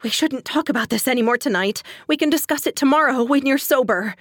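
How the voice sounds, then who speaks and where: A woman's voice: high voice, brisk, dry recording, clean studio sound, pitched above the performer's own voice. A woman voicing a frightened young wife in an audiobook, pleading in a shaky, gasping voice. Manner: breathy gasps, shaky pleading. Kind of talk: audiobook character dialogue.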